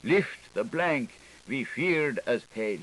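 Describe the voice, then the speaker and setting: A man's voice: medium pitch, animated, takes it slowly, a bit bright, rough recording. Theatrical male audiobook reader, swooping intonation. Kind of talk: theatrical literary narration of experimental prose. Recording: hissy older studio recording.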